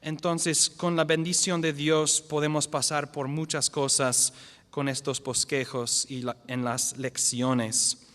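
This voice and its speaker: A high-pitched man teaches a church lesson as pastor. He is a non-native speaker who restarts words and repeats his key definitions slowly. His voice rings with the long reverb of a church hall. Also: flat delivery, fairly bright tone. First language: not the language spoken. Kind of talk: church lecture.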